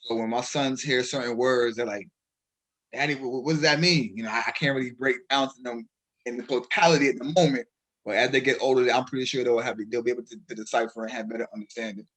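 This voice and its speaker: Brooklyn guy with a bajan accent, mid pitch, stops and restarts a lot, chatty radio guest. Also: fairly even intonation, fast talker, fairly bright tone, poor audio. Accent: Barbadian (Bajan). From Brooklyn, New York. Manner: hesitant, many restarts and fillers. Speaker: poet, interview guest. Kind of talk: casual radio interview.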